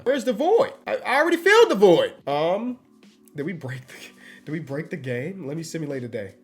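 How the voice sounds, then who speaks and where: A congested man giving casual, slangy commentary while playing a basketball video game. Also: a little high. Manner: loose, slangy and upbeat. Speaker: gaming YouTuber.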